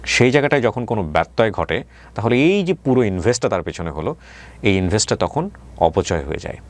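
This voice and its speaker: Man, Bangladeshi accent, lowish pitch, rapid delivery, radio interviewer, probing, explanatory, English words mixed in. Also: big pitch swings. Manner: mixes English words into his speech. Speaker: radio show host.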